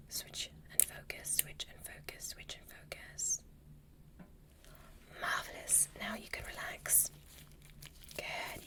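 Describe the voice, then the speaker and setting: A woman voicing a gentle, curious alien doctor in a breathy, soothing whisper for an ASMR medical roleplay. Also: mid-range voice. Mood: calm, instructing. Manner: soothing, softly instructing.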